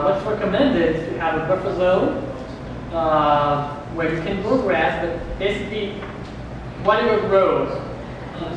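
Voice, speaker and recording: A man presenting at a public meeting, explaining in a halting way with many restarts and fillers. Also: fairly high pitch. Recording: echoey hall from far off, noisy.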